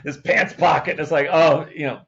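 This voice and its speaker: A man chatting casually as a podcast guest, in a halting, word-repeating way, on slightly dull audio. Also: a little high, steady tone, creaky, a bit bright. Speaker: podcast guest, comedy sketch producer. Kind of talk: casual chat.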